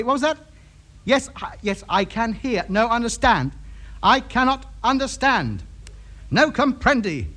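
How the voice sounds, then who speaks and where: A man's voice: very high voice, background noise, full character voice. A man with an English accent playing an exasperated, self-important amateur radio enthusiast in a sitcom, theatrical and prone to over-enunciating. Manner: theatrical, slowly over-enunciating or grandly musing. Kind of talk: broadcast sitcom acting.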